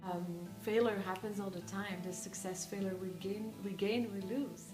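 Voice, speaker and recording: A woman's voice: medium pitch, steady tone, moderate pace. A woman from France, a life coach, speaking reflectively with frequent hesitations. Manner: reflective, hesitant with many ums. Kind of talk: interview talk. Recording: echoey room with music behind.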